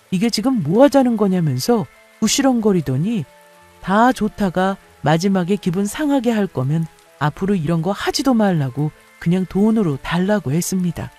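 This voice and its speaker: Woman reading a radio story, mellow relaxed voice, quiet music behind. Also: lowish voice. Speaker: story narrator. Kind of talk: scripted first-person story narration.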